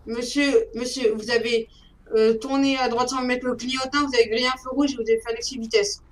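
A young man with a high voice role-playing in an online game, insistent and argumentative. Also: little pitch movement, fairly quick, a crowd in the background, poor audio. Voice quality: high-pitched voice. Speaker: role-play gamer playing a police officer. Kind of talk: in-game role-play and arguing.

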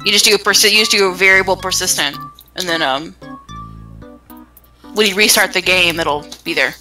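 Bright, forceful woman chatting over a game. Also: fairly low pitch, fairly quick, far from the mic, faint music behind. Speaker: player in a group gaming stream. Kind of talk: casual chat.

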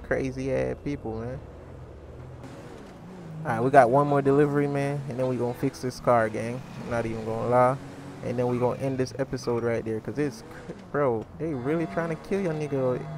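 A man's voice: medium pitch, background noise. A man giving casual, slang-heavy commentary while playing a crime role-play game, calling his viewers gang. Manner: slangy, laid-back, calls viewers gang. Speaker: gaming YouTuber playing a role-play series.

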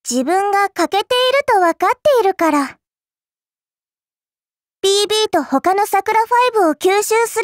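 Small cute spirit girl for an anime game: extremely high pitch, sly and teasing, polite but smug, dry studio sound. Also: no room sound. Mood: playful, confiding. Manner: polite, coy, teasing, cutesy. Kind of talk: anime game character voice lines.

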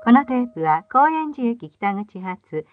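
Woman doing bus announcements, polite n formal, highish voice, narrow phone-like old tape sound. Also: sing-song, measured pace, a little hiss or hum. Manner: polite, formal, carefully enunciated. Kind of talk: scripted in-vehicle bus stop announcements.

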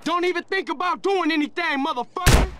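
An actor playing a cocky, defiant young American street gangster in a video game, talking in street slang. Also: male voice, very high-pitched, a little fast, heavy background noise. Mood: menacing, taunting. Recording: game audio under loud gunfire, engines.

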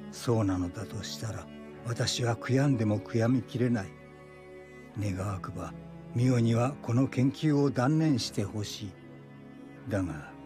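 A man playing an ailing elderly researcher in anime, reading out his letter to his granddaughter in a solemn, measured, regretful way. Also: mid-range voice, a little mellow, natural voice. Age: older. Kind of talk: dramatic letter narration in anime.

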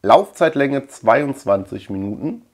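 A man's voice: medium pitch, animated, a little slow, a little mellow. A middle-aged man from Germany chatting informally through a collection show-and-tell, rambling and repeating words as he goes. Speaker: video collector and channel host. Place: indoor room lined with shelves of tapes.

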